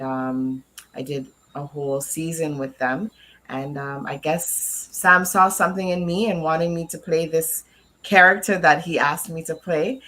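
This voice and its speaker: A woman with a notably low voice gives an informal interview. She speaks hesitantly and appreciatively, with faint noise in the background. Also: a bit bright, rough recording. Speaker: interview guest, podcaster and cast member. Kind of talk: informal interview self-introduction.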